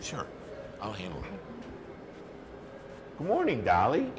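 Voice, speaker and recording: A man's voice: on the low side, huge pitch swings, measured pace, over music. A man playing an easygoing but frazzled casino boss in a scripted comedy. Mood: breezy.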